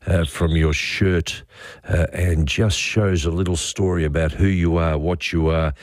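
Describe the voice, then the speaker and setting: A man's voice: deep voice, fairly even intonation, measured pace, no room sound, studio quality. A man hosting a talkback radio show, playful and teasing with callers. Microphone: studio microphone.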